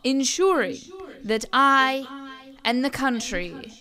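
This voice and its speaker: A clueless, self-satisfied young princess, put on by a female narrator in an audio storybook. Her voice is pitched up, halting and overblown, over music. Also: sharp tone, heavily acted. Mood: grandly reciting.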